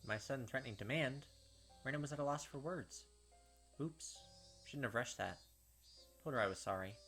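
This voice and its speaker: A man's voice: medium pitch, moderate pace, fairly bright tone, faint music behind. A man reading visual novel text aloud as a let's-play host, dropping casual, slangy asides along the way.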